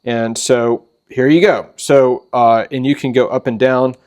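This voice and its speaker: Guy showing off gear, medium pitch, kinda rambling and halting, informal host talk. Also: fairly even intonation, darkish tone. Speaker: host of a preparedness channel. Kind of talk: casual product walkthrough.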